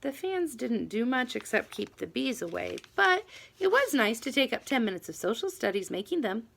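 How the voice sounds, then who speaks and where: Animated woman reading a children's novel aloud. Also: fairly high pitch, moderate pace, gravelly. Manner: animated, dramatic emphasis on key words. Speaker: audiobook reader. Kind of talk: expressive children's book narration.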